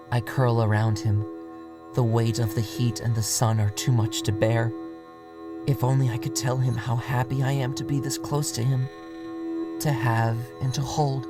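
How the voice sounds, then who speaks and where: A man voicing a bitter, devoted woman vampire in a measured, confessional audio drama monologue. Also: mid-range voice, natural voice. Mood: devoted, satisfied. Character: bitter, devoted Middle Eastern American woman vampire, quietly menacing. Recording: music behind the voice.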